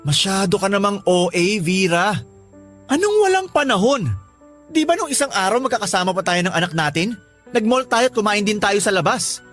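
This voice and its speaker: A man's voice: sits high, big pitch swings, normal speed, fairly bright tone, soft background music. A man playing a defensive, irritable live-in partner in a Filipino radio drama, whining and dismissive. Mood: defensive, annoyed. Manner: whiny, dismissive. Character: defensive, irritable young live-in partner, fond of his buddies.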